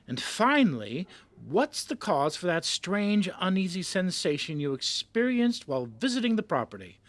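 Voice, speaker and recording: Audio drama role: hearty, demanding editor, high-pitched, emphatic and theatrical, close dry sound, male actor. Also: sing-song, moderate pace, a bit bright, dry recording. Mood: excited, pushy. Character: effusive, pushy male magazine boss.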